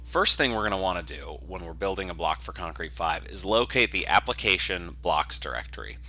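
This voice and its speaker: A man narrating an informal software tutorial in a conversational, explanatory way. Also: sits low, animated, a little fast. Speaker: software developer teaching a tutorial.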